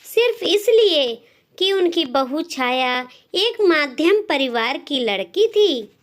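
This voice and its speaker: High voiced lady narrating a moral story, really slow, lots of pauses. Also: sing-song.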